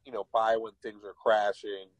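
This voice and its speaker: A man's voice: lowish voice, flat delivery, moderate pace, no room sound, highs cut off. Joking man in his thirties, dry sound. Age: 30s. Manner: joking, rambling, playfully boastful. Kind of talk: casual banter on a call. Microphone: remote call, signal cutting out.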